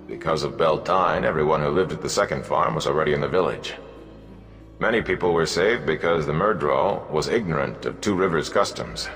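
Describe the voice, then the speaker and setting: A man's voice: extremely low pitch, a bit bright, long reverb tail. A man voicing a stern warrior bodyguard who speaks curtly and matter-of-factly. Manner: curt, matter-of-fact.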